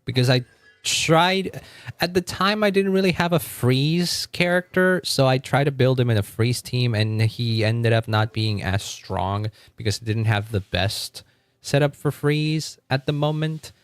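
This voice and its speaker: A man's voice: mid-range voice, a little slow, clear, resonant tone, dry recording. A man live streaming in a casual, rambling way, thinking aloud and often chuckling as he chats with viewers. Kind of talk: casual gaming livestream chat.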